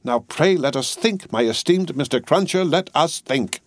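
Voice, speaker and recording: A frantic, tearful lady companion, as a male narrator puts her on, raised well above his own pitch. She pleads in earnest, distressed tones. The storybook reading is dry and close. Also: animated, normal speed, no echo, natural voice. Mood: anxious. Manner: wild, breathless distress.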